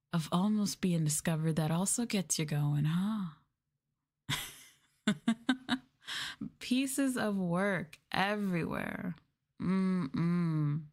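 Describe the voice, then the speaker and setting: Slow, lower-pitched woman, condescending tease. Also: a little mellow. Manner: condescending, mocking, sultry taunting. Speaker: streamer, VTuber host. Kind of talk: teasing ASMR roleplay to live chat.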